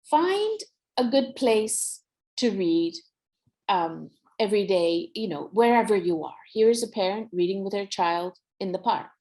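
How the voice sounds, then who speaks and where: A woman's voice: mid-range voice, animated, unhurried, mellow. A woman with a Nepali accent giving an encouraging, explanatory presentation to parents as a workshop presenter. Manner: encouraging, instructive, mixes Nepali phrases into English. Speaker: workshop presenter on early reading.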